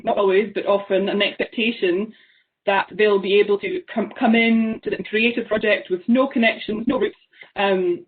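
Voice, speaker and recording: A young woman from the Isle of Lewis with a Scottish accent, giving an online seminar talk, thoughtful and full of ums. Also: medium pitch. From Isle of Lewis, Scotland. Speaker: seminar speaker. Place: small room at home. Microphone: laptop webcam on a video call. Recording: tinny phone-like video call.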